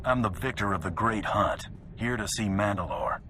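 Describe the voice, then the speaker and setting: A man's voice: on the low side, normal speed, sharp tone, slight background noise. An actor playing a confident, curt and businesslike male bounty hunter in a video game. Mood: stern. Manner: terse, cocky, businesslike.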